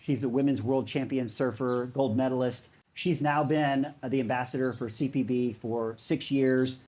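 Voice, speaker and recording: A man's voice: medium pitch, steady tone, normal speed, a little mellow. Casual, enthusiastic man giving a talk. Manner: casual and enthusiastic, filler-heavy. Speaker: speaker. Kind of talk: conference talk.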